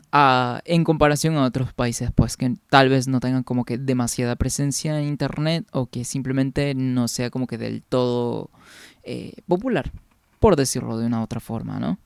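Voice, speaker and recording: A young man from Peru chatting loosely with his stream viewers, drifting from point to point and answering their comments. His voice is smooth and clean, sitting in the middle of the male range. The sound is close and dry, with no room to it. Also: medium pitch, normal speed, no room sound. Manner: rambling, reads viewer comments aloud and answers them. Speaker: game streamer. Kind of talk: casual chat with viewers during a game stream.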